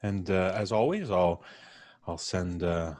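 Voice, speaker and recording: A man's voice: low-pitched, slow pace, a little mellow, no room sound. A man hosting a live podcast stream, chatting off the cuff with courteous, slightly theatrical turns of phrase. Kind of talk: unscripted closing remarks to a cast and audience.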